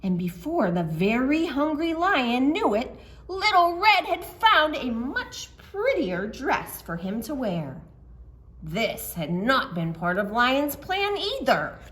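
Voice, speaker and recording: Animated, unhurried woman storyteller for children. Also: sits high. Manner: expressive, explaining simply to children. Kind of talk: animated storytime narration and simple craft explanation for children.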